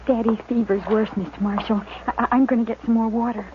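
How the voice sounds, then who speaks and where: A woman's voice: a little high, steady tone, quick pace, natural voice. Woman as an anxious young prairie daughter, muffled. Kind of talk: 1950s radio western acting. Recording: noisy, dull vintage 1950s radio recording.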